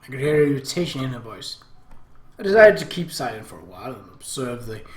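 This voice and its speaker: A man narrating a visual novel aloud as an expressive, theatrical first-person story reading, in an echoey room. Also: mid-range voice, fairly quick. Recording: roomy, echoey home recording.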